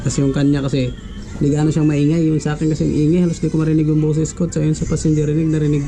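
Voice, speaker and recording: A man's voice: fairly high pitch, completely flat delivery, very fast, warm tone, faint music behind. A man talking casually as a vlogger walking viewers through a do-it-yourself tutorial. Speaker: vlogger giving a DIY tutorial.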